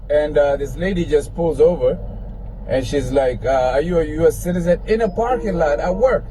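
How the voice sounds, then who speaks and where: Man, high and dark-toned, confronting someone from a parked car, phone mic, faint hiss. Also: rough recording. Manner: argumentative, incredulous. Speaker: rideshare driver filming an encounter. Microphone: phone camera.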